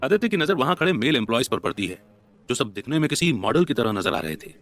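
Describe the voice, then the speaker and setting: A man's voice: medium pitch, big pitch swings, fast talker, fairly bright tone. A man narrating a scripted dramatic story as an audio storyteller. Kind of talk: scripted dramatic story narration in Hindi mixed with English words. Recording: narration over background music. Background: background music.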